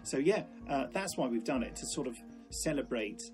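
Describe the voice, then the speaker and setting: A man's voice: mid-range voice, expressive, normal speed, music in the background. A man explaining his production work conversationally in an interview, hesitating and correcting himself as he goes. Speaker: audio drama producer.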